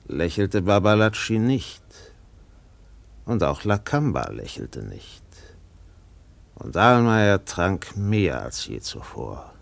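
Deep, gravelly male radio-play narrator. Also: dry recording. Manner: grave, deliberate. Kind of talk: scripted literary radio drama narration.

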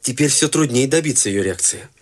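An actor playing a deadpan, morbid young man in a radio play, answering flatly and matter-of-factly. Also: mid-range voice, brisk, lightly acted.

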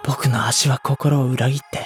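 A man plays a teenage schoolboy in an audio drama, telling the story from inside his own head. He is hesitant and brooding, an earnest, mid-pitched voice with music clearly playing behind him. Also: fairly even intonation, normal speed, close to an everyday voice. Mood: tense, brooding. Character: anxious teenage schoolboy narrator, introspective and hesitant. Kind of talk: Japanese audio drama acting and inner-monologue narration.

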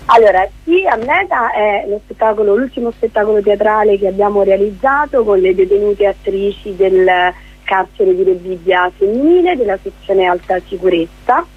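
A woman's voice: medium pitch, expressive, normal speed, breathy edge, narrow, tinny audio. A woman speaking as a guest theatre director in a conversational radio interview, explaining things discursively.

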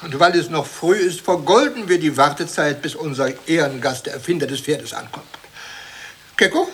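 An actor playing a pompous, touchy old academy president in an absurdist radio comedy, theatrical and forever interrupting himself. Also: male voice, a little high, moderate pace, a bit bright, slight background noise, close to an everyday voice. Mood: ceremonious. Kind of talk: absurdist comic radio play acting.

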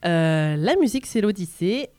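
A woman's voice: on the low side, sing-song, moderate pace, mellow, no echo. Informal, playful woman radio host, very dry sound. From France. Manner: informal, playful, self-deprecating. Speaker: radio host running a music quiz. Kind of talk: casual chat, reading lyrics aloud. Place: radio studio.

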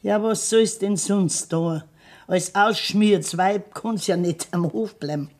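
Woman playing old Bavarian farm grandmother, blunt, scolding, unhurried, radio drama. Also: medium pitch, darkish tone, lightly acted. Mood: matter-of-fact, insistent. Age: older. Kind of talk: radio drama acting in dialect.

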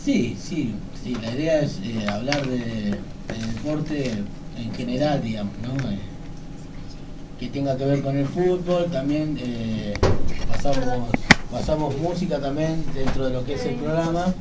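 A man's voice: mid-range voice, a little slow. An Argentine man giving casual interview answers as a radio sports commentator, hesitant, repeating words and often adding verbal tags. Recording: noisy room, distant microphone.

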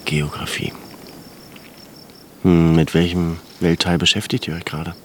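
An actor in a radio drama playing a pensive, gently strict scholarly father in his thirties, with a gravelly voice. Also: sits low, flat delivery, slight background noise. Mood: fatherly, probing. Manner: slow, halting, introspective.

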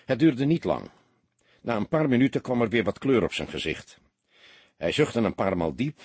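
A man's voice: on the low side, slightly rough, no echo. A man reading a detective novel as a dramatic audiobook narrator.

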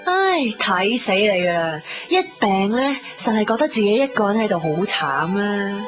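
A woman's voice: mid-range voice, measured pace. An actress playing a frank, worldly, teasing woman in a Hong Kong Cantonese radio drama, nagging with sighs and slang. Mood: blunt, exasperated. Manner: blunt, sighing, animated nagging with slang. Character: frank, worldly, teasing female friend scolding and helping. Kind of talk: 1990s radio drama acting. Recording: dull recording with music behind.

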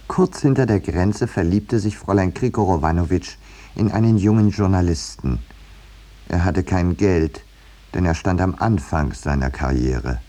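An actor playing an urbane, dryly ironic painter in a radio play, polite, hesitant and deadpan. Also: male voice, deep voice, exaggerated voice. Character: urbane, dryly ironic male painter telling his tale. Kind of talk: 1950s German radio play acting and narration.

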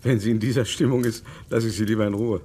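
An actor playing a matter-of-fact, bleakly confiding man in a radio crime drama, with a gravelly voice. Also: on the low side, steady tone, fast talker, dark tone, soft background music, lightly acted.

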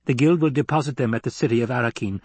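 A man's voice: racing, darkish tone, slightly rough, no echo, natural voice. A man voicing a clever, supercilious male adviser in an audiobook, laying out schemes in precise, formal speech. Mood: coolly analytical. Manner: precise, formal, higher-pitched exposition. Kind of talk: audiobook character acting.

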